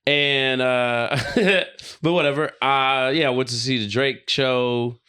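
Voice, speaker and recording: A man's voice: mid-range voice, steady tone, slow, sharp tone, clear, resonant tone. A man of forty co-hosting a podcast, chatting casually in blunt, slangy, profane language. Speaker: podcast co-host. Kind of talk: casual chat.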